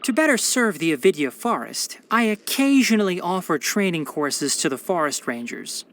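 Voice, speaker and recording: A man's voice: high-pitched, very animated, moderate pace, a bit bright, exaggerated voice. A man voicing a brainy, caring young fox-eared forest watcher in a video game, articulate and bookish with a dryly sardonic manner. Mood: dry defiance. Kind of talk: scripted video game character voice lines.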